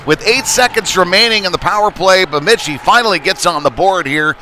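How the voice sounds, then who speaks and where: A man's voice: high voice, brisk. A man doing live play-by-play commentary of an ice hockey game in a casual, unpolished, conversational way. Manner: excited calls when play heats up. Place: indoor ice rink. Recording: noisy live rink stream, clear background noise. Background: rink ambience and noise.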